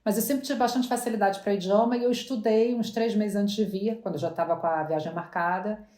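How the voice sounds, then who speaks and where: A woman's voice: mid-range voice, brisk, warm tone. A woman, chatting as an interview guest and often restarting her words. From Brazil. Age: 40s. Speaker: guest entrepreneur. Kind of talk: casual interview chat. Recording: roomy echo.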